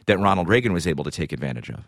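An American man hosts a radio interview in a deep voice. He presents in clear broadcast phrasing, and the sound is dry, with no room around it. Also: very animated, racing, no echo. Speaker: radio show host and interviewer.

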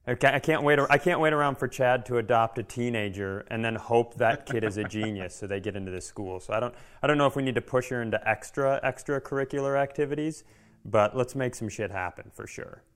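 A man's voice: mid-range voice, a little fast. An American man co-hosting a comedy podcast with casual, sarcastic and profane riffs. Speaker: podcast co-host. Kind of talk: casual comic banter.

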